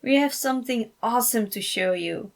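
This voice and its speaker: Bubbly teenage girl, acted by a woman, raised pitch, eager, gushing, teasing, storybook character. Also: moderate pace, creaky.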